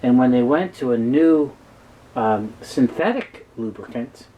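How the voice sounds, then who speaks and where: A man giving a halting, explanatory technical talk as an expert guest in an interview. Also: mid-range voice, animated, phone-quality sound, a little hiss or hum. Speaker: guest expert on reel-to-reel tape.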